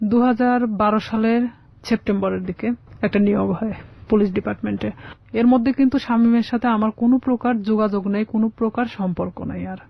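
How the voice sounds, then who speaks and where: Casting: young Bangladeshi Bengali woman, interview guest recounting their life, quick delivery, close dry sound. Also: mid-range voice, fairly even intonation, creaky, no echo, highs cut off. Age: young adult. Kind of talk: personal storytelling in a radio interview.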